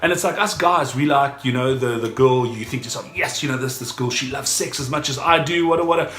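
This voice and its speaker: A man's voice: medium pitch, fast talker, a bit bright. A man chatting casually on a couple's vlog, blunt and crude, rambling as he makes his points. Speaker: couple vlogger. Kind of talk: casual chat. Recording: echoey room with background music.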